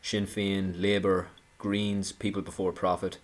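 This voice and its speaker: A man's voice: sits low, flat delivery, measured pace. A man giving an indignant, sarcastic political commentary, talking with frequent restarts. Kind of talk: monologue commentary.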